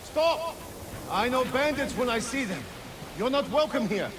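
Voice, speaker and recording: An actress playing a suspicious, forceful female town guard loyal to her lord in a video game. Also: high voice, lively intonation, measured pace. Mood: hostile, wary. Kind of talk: dramatic video game acting. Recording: noisy game audio with rainstorm.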